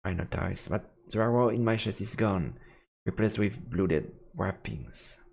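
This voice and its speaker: Low, creaky man narrating dramatically. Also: animated, a little slow, a little mellow. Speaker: streamer reading a game aloud. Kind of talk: dramatic narration of a visual novel. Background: faint music.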